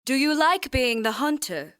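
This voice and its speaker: Reassuring grandmother, played by a woman: fairly high, smooth voice, polite, dry studio sound, audio drama. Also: lively intonation, normal speed, fairly bright tone, no echo. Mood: concerned. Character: gentle, concerned grandmother.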